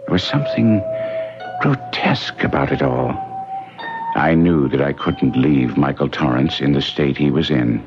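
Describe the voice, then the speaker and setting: Deep-voiced, courteous English solicitor. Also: male voice, lively intonation, measured pace, over music, exaggerated voice. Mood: grave narration. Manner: polite, hesitant, old-fashioned phrasing. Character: courteous, hesitant English solicitor, measured and inquisitive. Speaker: radio drama actor. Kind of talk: old-time radio mystery acting. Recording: muffled vintage radio recording.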